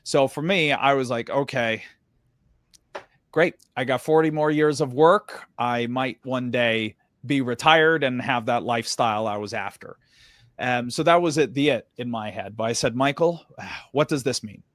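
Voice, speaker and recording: A man's voice: no echo, studio quality. A man from Sweden with an American accent, telling his story as a guest in an interview and acting out the conversations. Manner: animated storyteller, acts out dialogue with quick jumps in pitch.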